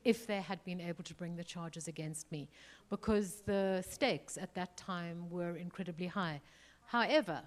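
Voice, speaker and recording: A middle-aged woman from South Africa with a South African accent, speaking earnestly at a panel, with frequent ums and restarts. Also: medium pitch. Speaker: museum CEO, panelist. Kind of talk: panel remarks. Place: event hall.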